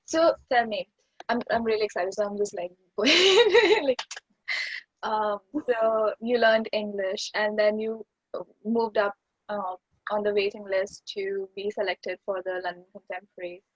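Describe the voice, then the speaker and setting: Eager young host, husky and dry. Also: female voice, medium pitch, unhurried, dry recording, dull audio. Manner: eager, with many "yeah"s and "like"s. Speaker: interviewer host. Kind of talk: casual interview chat.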